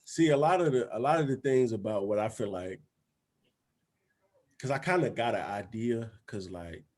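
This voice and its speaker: A man's voice: lowish voice, normal speed, a little mellow. A man hosting a casual podcast chat, talking in a laid-back, slangy way, swearing often and asking "you feel me". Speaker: podcast host.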